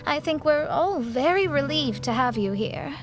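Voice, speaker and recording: High-voiced, proud young astrologer. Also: female voice, lively intonation, normal speed, faint music behind. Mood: sincere. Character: proud young female astrologer, earnest and guarded. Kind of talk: anime-style video game voice acting.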